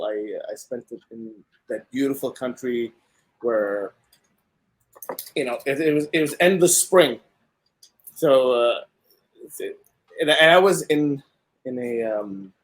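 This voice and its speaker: A man's voice: mid-range voice, poor audio. A man from Kenya, a guest on a podcast, speaking casually and hesitantly with many fillers. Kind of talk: casual conversation.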